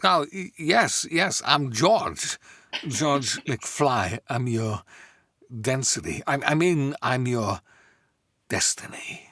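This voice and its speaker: A man voicing an awkward, nervous, eccentric oddball in a comic table read, with halting delivery and odd stresses. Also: medium pitch, lively intonation, a bit bright, no room sound, studio quality.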